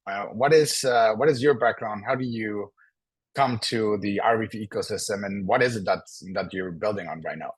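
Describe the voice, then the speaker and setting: A man's voice: on the low side, moderate pace, husky, no room sound. A man moderating an online panel on a video call, friendly and inviting, with frequent hesitant fillers.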